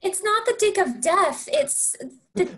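A woman reading a soothing friend, high voice. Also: expressive, measured pace, fairly bright tone, exaggerated voice. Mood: consoling. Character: caring woman consoling a friend.

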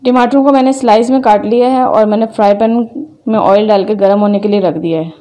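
A woman hosting a home cooking video, calmly explaining each step as she cooks in her kitchen. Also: medium pitch, quick pace. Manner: calm, methodical, names each step as she works. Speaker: cooking channel host.

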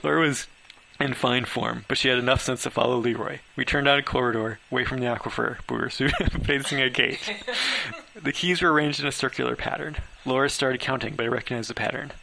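A man's voice: medium pitch, steady tone, fairly quick, vocal fry, pushed and bright, rough recording. A man reading his own story as an amateur narrator, stumbling over words now and then.

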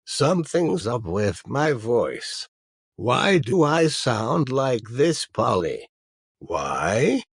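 A man voicing a cartoon lamppost that sounds like a complaining grandpa, with a raspy, wobbly old voice. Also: medium pitch, sing-song, extremely slow, no room sound, studio quality, heavily acted. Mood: distressed. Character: singing lamppost whose voice has turned old and grandpa-like.

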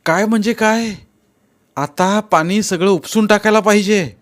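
A man voicing a bossy, aggressive village boy in a story, scolding and shouting in rough rural speech. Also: high voice, a little slow, voice pushed up. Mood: defiant.

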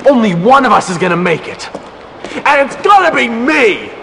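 A power-hungry schoolboy villain in a video game. His voice is very high and swings widely as he taunts with theatrical scorn. Loud ambient noise sits behind it. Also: very animated, moderate pace, vocal fry, full character voice. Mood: menacing, grandiose. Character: sneering, manipulative, power-hungry teenage schoolboy bully. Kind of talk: video game cutscene acting.

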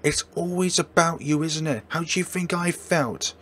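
A man voicing a young female college student, shouting bitter accusations. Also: mid-range voice, moderate pace, slight background noise, pitched above the performer's own voice, close to an everyday voice. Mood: furious.

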